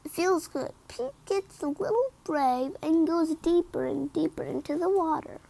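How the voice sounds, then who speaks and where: A woman reading a children's picture book aloud in an expressive, deliberate manner. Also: very high voice, gravelly. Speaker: read-aloud storyteller.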